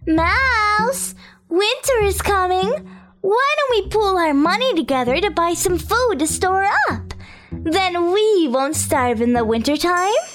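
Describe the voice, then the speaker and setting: Squeaky, theatrical fat lazy cat. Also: female voice, lively intonation, slow, a bit bright, exaggerated voice. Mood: coaxing. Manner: whining, wheedling, theatrical. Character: big fat lazy scheming female cat. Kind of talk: children's storybook acting.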